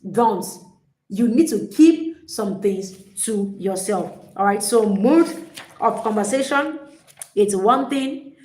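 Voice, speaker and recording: A woman giving an energetic, emphatic motivational talk as a relationship coach, often repeating her points. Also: medium pitch, slow. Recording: echoey room.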